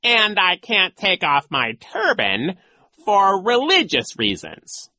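Slow, sneering, sly villain. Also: male voice, high-pitched, wildly swooping pitch, bright, forceful tone, no room sound, pitched above the performer's own voice, exaggerated voice. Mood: sly, feigned politeness. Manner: slow, drawn-out, theatrical delivery. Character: sly gloating villain, disguised as a gym teacher.

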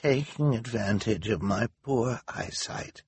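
A man voicing an angry old volunteer with poor eyesight, speaking slowly and accusingly in a storybook reading. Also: on the low side, husky, dry recording, voice pushed up, full character voice. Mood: weary accusation.

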